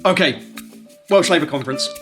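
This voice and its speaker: Bloke on a politics podcast, slightly high voice, chatty storyteller who does little imagined quotes. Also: male voice, fairly quick, fairly bright tone, soft background music. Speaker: podcast co-host. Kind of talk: casual political chat.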